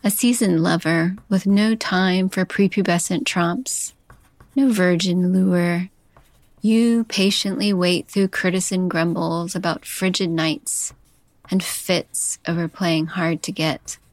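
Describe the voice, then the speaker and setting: Slow, intimate woman narrating lyrically. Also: lowish voice, steady tone, no room sound. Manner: slow, intimate, poetic delivery. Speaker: podcast host and chef. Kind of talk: scripted narration, lyrical love letter.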